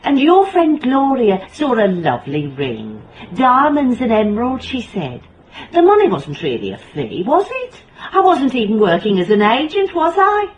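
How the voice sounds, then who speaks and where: A shrewd woman investigator from a radio drama, with a highish, very mobile voice. She is controlled, wry and steely, recorded over faint noise. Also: wildly swooping pitch, normal speed, highs cut off. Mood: wry, assured. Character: shrewd, steely female private investigator, quietly morally indignant. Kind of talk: radio drama acting and first-person narration.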